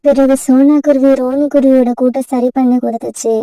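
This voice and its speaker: A woman's voice: high voice, brisk, distant mic. Woman narrating a cartoon tale. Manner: steady, storytelling. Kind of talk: scripted storybook narration.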